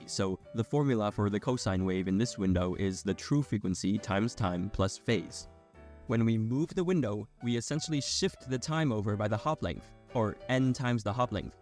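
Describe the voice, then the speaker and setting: A man narrating a scripted technical explainer in a clear, step-by-step manner. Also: fairly low pitch, a little mellow. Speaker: video tutorial narrator.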